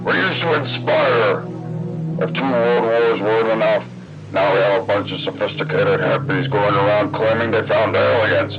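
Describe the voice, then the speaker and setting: An actor playing an indignant 1960s presidential candidate giving a stern, righteous campaign address full of scorn. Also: male voice, on the low side, normal speed, lightly acted. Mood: indignant, scornful. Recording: dull old broadcast recording, loud music.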